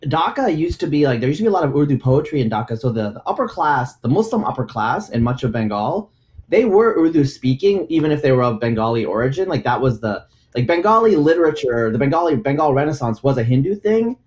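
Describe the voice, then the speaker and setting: A man's voice: fairly high pitch, sing-song, fast talker, darkish tone. Male host, casual, loose and rambling delivery, restarts often. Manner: rambling, many likes and restarts. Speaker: podcast host. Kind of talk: casual discussion.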